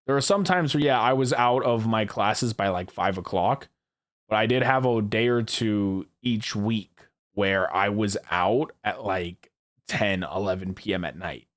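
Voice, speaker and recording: A man's voice: on the low side, unhurried. A man in his early twenties hosting a casual chat with friends, rambling, repeating himself and swearing freely. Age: early 20s. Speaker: podcast host.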